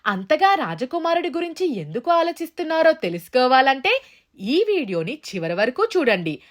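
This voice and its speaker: A woman's voice: high voice, very animated, moderate pace. A woman narrating a scripted children's story.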